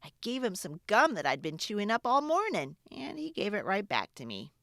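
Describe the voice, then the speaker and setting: A woman reading a children's story aloud in an expressive, dramatic storytelling manner. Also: mid-range voice, moderate pace, gravelly, dry recording, studio quality. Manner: expressive, dramatic, with hushed asides. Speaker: children's book reader and channel host. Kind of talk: animated read-aloud storytelling for kids.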